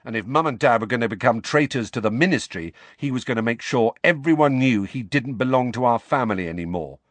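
A man's voice: mid-range voice, lively intonation, brisk, no room sound, pitched above the performer's own voice, natural voice. A man reading an audiobook, voicing a glum teenage boy who talks in a casual, blunt, slangy way. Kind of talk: audiobook character voice.

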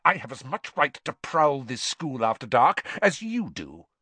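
A man's voice: mid-range voice, lively intonation, moderate pace, a bit bright, slightly rough, no echo. A man voicing a cold, haughty, sinister professor in an audiobook, hissing and disdainful through clenched teeth. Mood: defiant.

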